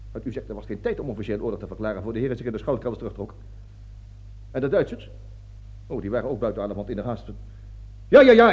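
Pompous army colonel, dull recording. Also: male voice, mid-range voice, a little mellow, husky. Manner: declamatory speeches, barking orders, stammering when flustered. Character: pompous fanatical army colonel, bombastic and self-righteous. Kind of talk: satirical radio play acting. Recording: dull lo-fi radio drama recording.

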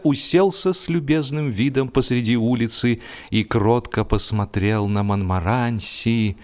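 Slow-paced male narrator of comic fiction. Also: medium pitch, a little mellow. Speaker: audiobook narrator. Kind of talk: expressive humorous literary narration.

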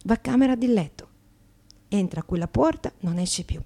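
A cheeky Slovak streetwalker telling stories on a radio stage. She chats brashly in broken, Slovak-accented Italian with a mellow tone, checking in with her listeners as she goes. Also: mid-range voice, expressive, moderate pace, vocal fry. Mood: playful, animated. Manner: chatty, broken grammar, frequent tag questions. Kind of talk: theatrical monologue to listeners.

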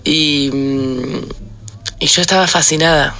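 Creaky, bright, low-voiced Argentine woman. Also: fairly even intonation, slow. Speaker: listener sending an audio message. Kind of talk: casual personal anecdote. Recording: voice message with faint background noise.

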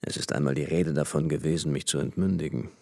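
An actor playing a flippant, worldly young French aristocrat and army officer in a radio play, chatting with easy familiarity. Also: male voice, a bass voice, steady tone, fast talker, creaky, darkish tone. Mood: amused, mocking. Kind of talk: German radio play dialogue. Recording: long hall reverb.

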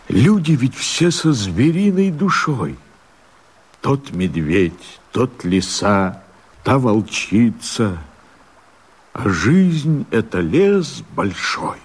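An actor playing a fierce, brooding leader of a peasant revolt, declaiming verse theatrically in a husky voice. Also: male voice, fairly low pitch, full character voice. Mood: brooding, weighty. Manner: theatrical, impassioned, drawn-out declamation. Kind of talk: declaimed verse radio drama.

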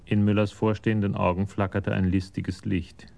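Very deep, gravelly male narrator. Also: little pitch movement, moderate pace, a little mellow. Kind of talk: scripted narration of a crime drama.

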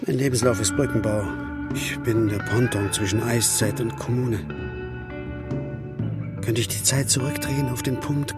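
Loud music runs behind a man's middle-register voice. An actor plays a blunt, lovelorn construction worker. The delivery is poetic and declamatory, like a stage play made for radio. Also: medium pitch, natural voice. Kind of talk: stage-like German radio drama acting.

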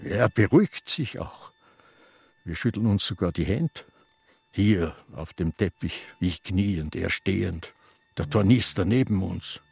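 An actor playing a confused, reminiscing elderly man with an Austrian accent, in a halting radio drama monologue. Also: sits low, expressive, creaky, a little mellow, slightly rough, dry recording, exaggerated voice. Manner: halting, ruminative.

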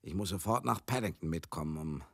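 An actor in a German radio drama monologue, playing a garrulous, rough-mouthed showbusiness man, chatty, theatrical and excitable. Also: deep voice, brisk, dry recording, clean studio sound, full character voice. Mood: agitated confiding. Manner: chatty, theatrical, swinging into outbursts.

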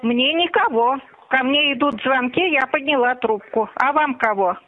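Brief: a man doing a high-pitched, indignant housewife over a tinny phone line, talks over people. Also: moderate pace. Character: fed-up middle-aged woman answering home phone. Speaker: prank caller.

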